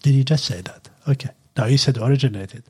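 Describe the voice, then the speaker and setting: A middle-aged man with a husky voice, chatting casually as a podcast host, halting with fillers. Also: mid-range voice, warm tone. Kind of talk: casual chat.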